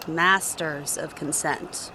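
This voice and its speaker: A woman's voice: sits low, fairly even intonation, slow, bright, forceful tone, exaggerated voice. Jaded, sassy female genie, noisy background. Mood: sassy, blunt. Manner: blunt, dry, sassy. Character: jaded, guarded, sarcastic ancient female genie bartender. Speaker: video game romance character. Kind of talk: scripted game dialogue, casual.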